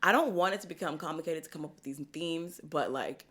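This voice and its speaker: A woman hosting a podcast in casual chat, animated and slangy, laughing often. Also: low voice, brisk. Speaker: podcast host.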